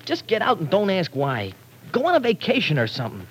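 A slangy young errand runner in a classic radio drama. His voice is fairly high and husky, with big swings in pitch. He talks streetwise but stumbles, always dodging and guarding himself. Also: wildly swooping pitch, brisk, full character voice. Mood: urgent pleading. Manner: streetwise slang, hesitant and stammering under pressure. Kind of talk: old-time radio drama acting.

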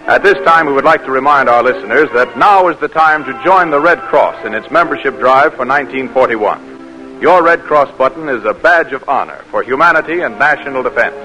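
A man's voice: mid-range voice, pushed and bright, low-quality recording. A man announcing a radio drama series in a polished, formal 1940s style.

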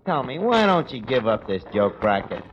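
An actor playing a blunt, flustered, uneducated tavern manager, wisecracking and mangling words in a 1940s radio comedy style. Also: male voice, mid-range voice, sing-song, normal speed, lightly acted. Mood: wry, wary. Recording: noisy, muffled vintage radio recording.

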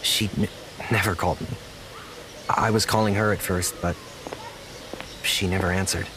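An actor playing an earnest, insecure young man in an audio drama, speaking softly and haltingly with an apologetic manner. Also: low voice, steady tone, background noise, natural voice. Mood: apologetic, hurt. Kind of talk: naturalistic audio drama dialogue.

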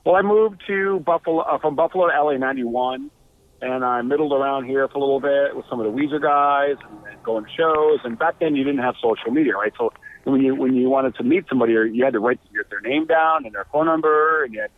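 A man's voice: mid-range voice, brisk. A man telling stories as a musician guest in a chatty, loose way over a phone line. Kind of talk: casual interview storytelling.

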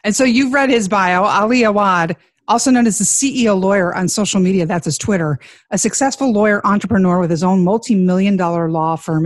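A woman's voice: lowish voice, no room sound, clean studio sound. A woman co-hosting a podcast interview, introducing the guest in an upbeat, joking way. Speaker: podcast co-host. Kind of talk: casual interview chat.

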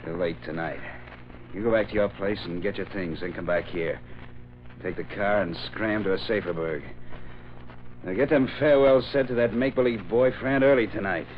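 Jealous slangy hoodlum male actor, low register, menacing, dull vintage radio sound. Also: normal speed, slight background noise, lightly acted. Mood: gruff, threatening. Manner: slangy tough-guy talk, threatening. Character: jealous tough gangster man hiding out with his girl. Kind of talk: 1940s radio crime drama acting. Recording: muffled old radio recording.

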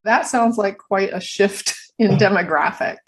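A woman hosting a podcast, welcoming her guest in a friendly way and asking gentle interview questions. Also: mid-range voice, a little slow. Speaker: podcast host. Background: guitar music.

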